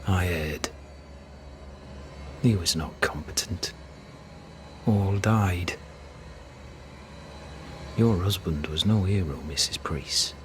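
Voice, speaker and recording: An actor playing a stern, proud colonial military captain in a video game, speaking in a grave, formal, measured way. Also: male voice, low voice, slight background noise. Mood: grave regret. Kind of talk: dramatic video game acting.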